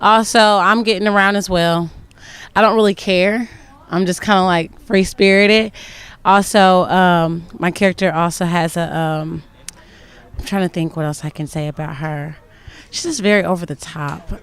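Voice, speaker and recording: Soft-spoken young woman with a smooth voice. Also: on the low side, steady tone, normal speed. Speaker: stage actress. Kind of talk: casual interview.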